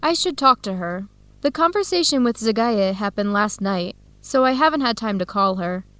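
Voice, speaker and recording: A woman's voice: medium pitch, animated, moderate pace, a bit bright, no room sound, close to an everyday voice. A young woman acting an earnest, disheartened student in her early twenties in a radio drama, enunciating carefully for language learners. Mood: torn, reflective. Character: disheartened 22-year-old Ethiopian-American woman student, earnest, torn.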